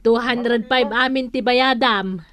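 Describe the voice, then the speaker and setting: A woman's voice: fairly high pitch, slow, a bit bright. A woman playing a sly village storekeeper in a comedic radio drama, with a Northern Philippine accent and a sheepish, muttering way of talking. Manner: mutters sums to herself, chuckles sheepishly. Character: sly village storekeeper councilwoman who pads customers' debts.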